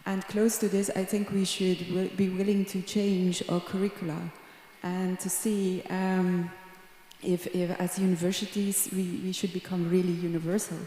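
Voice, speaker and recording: A woman answering on a conference panel in a calm, quiet delivery. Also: fairly low pitch, moderate pace. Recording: echoey conference hall.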